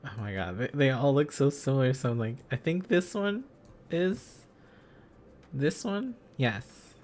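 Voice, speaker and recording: Slow-paced silly man on a livestream. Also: medium pitch, animated, darkish tone. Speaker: livestream host. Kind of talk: casual livestream, learning an alphabet aloud.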